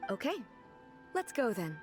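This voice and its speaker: High-voiced, earnest, dignified ruler. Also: female voice, big pitch swings, a little slow, faint music behind. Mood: puzzled. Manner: formal, inquisitive, earnest. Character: dignified, naive female ruler, earnest and literal-minded. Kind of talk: anime game voice acting.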